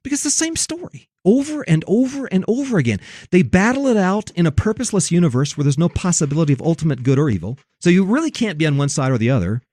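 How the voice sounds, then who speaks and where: A man's voice: high voice, sing-song, quick pace, few pauses, mellow, no room sound, clean studio sound. A man giving sermon-like religious commentary and scripture readings, preachy and emphatic, repeating phrases for weight.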